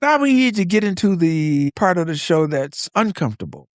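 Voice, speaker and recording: A man's voice: high-pitched, very animated, moderate pace, soft background music. A man co-hosting a podcast, giving scripted, conversational commentary in short, wry statements. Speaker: podcast co-host.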